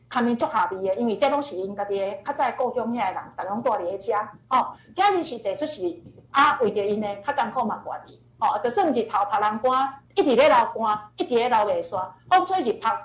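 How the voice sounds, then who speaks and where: A woman with a Taiwanese accent speaks as a teacher, explaining things in an informal, animated way. Her voice sits fairly high, and the recording is roomy and echoing. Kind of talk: informal lecture, explaining song lyrics. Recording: roomy, echoing online-class audio.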